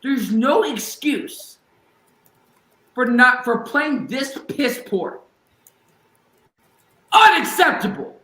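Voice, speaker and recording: A man with a high, bright, forceful voice co-hosts a sports talk podcast. His talk is heated and ranting, and it spikes into shouts. He is recorded in an echoey room. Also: big pitch swings, drawn-out words, long pauses. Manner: fired-up ranting, bursts into shouts. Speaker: podcast co-host.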